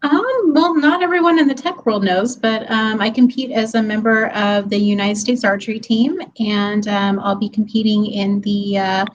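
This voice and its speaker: A woman's voice: medium pitch, normal speed, few pauses, dull audio, a little hiss or hum. A woman speaking as guest on an online tech meetup, friendly and chatty, laughing easily and using plenty of ums. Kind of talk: webinar presentation. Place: office hallway.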